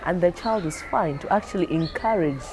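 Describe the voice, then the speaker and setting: A woman's voice: fairly low pitch, normal speed, noisy background. A woman clinician speaking conversationally as she explains things for a training film.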